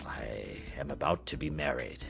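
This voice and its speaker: A man voicing an anxious, proud king in a grave, formal, deliberate manner, in an audiobook story. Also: rumbling low, gravelly, a bit bright, faint music behind, pitched below the performer's own voice, full character voice. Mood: grave, troubled.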